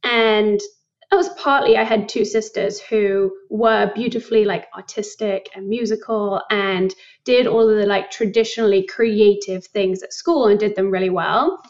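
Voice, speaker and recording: A young woman from England, a company founder talking in a casual interview, thoughtful and quick to laugh. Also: mid-range voice, fairly even intonation, dull audio. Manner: reflective, laughs often, many likes. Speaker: guest, startup founder.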